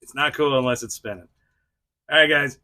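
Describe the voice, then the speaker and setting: A middle-aged man speaks as the host of a casual video review. His voice is bright and forward, at an ordinary male pitch. He is chatty and self-deprecating, talking directly to his viewers in an informal way. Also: a little fast. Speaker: toy review channel host. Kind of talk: casual vlog-style review to camera.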